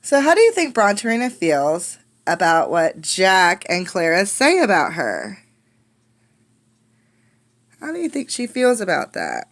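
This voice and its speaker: A woman reads a picture book aloud in the role of a teacher. Her voice is medium in pitch with very lively movement. She is expressive and instructive, addressing children with questions. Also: measured pace. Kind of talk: interactive storybook read-aloud with questions.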